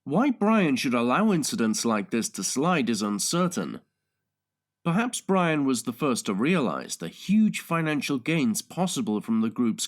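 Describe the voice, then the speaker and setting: A man narrating audiobooks in a measured, deliberate, scripted style. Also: medium pitch. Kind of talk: scripted dramatic and factual narration.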